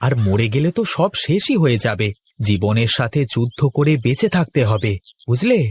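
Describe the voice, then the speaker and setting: A man voicing a steady, encouraging younger farmer brother in a village cartoon, measured and reassuring. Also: mid-range voice, husky. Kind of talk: Bengali cartoon dubbing.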